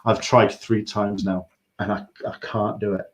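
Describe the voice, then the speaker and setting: An English man hosting a casual livestream interview, talking in a rambling, halting way and often interrupting himself. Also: on the low side, level tone. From England. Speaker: interview show host.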